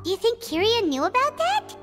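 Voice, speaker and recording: An actress voicing a tiny, cheerful, childlike fairy companion in an anime video game, perky and eager. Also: female voice, big pitch swings, normal speed, fairly bright tone, exaggerated voice. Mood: curious. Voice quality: squeaky, childlike. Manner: perky, eager, refers to herself in third person. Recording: game music behind the voice.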